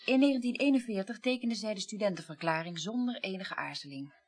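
An actress playing a weary, well-read middle-aged woman in a radio play, recounting the past deliberately and articulately. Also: mid-range voice, darkish tone, a little hiss or hum, lightly acted. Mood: grave. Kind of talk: 1980s radio play acting.

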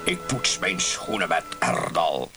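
An actor playing a lecherous, overpolite gentleman in a comic radio play, speaking in a florid, suggestive way. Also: low-pitched, animated, takes it slowly. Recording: radio play with loud music behind.

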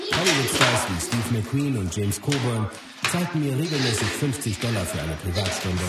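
An actor playing a self-assured, ambitious martial arts master in a radio drama, making firm declarations. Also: male voice, on the low side, close to an everyday voice. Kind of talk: scripted radio drama monologue. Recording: distant, very echoey, loud music behind.

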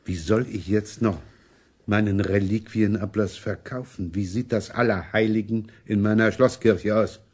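A man's voice: lowish voice, fairly even intonation, measured pace, darkish tone, husky. A man acting a money-minded, imperious German prince in a radio play, sardonic and complaining in manner. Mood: indignant.

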